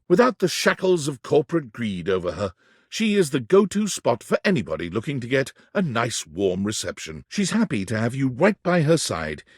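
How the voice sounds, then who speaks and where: A man's voice: mid-range voice, breathy edge, dry recording, studio quality. A man narrating a quirky romance video game in a dramatic, descriptive storytelling manner. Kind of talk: scripted game narration, second person.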